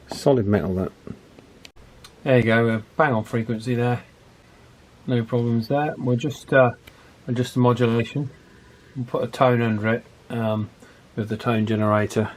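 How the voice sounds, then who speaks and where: A man giving a casual hands-on repair commentary, thinking aloud with pauses mid-phrase. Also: lowish voice, darkish tone. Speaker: electronics repair vlogger.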